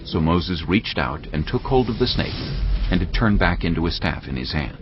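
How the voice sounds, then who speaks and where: A man narrating scripture in a dramatized audio production, telling it in a measured storytelling way. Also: low-pitched, lively intonation, background noise. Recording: muffled, over loud cinematic music.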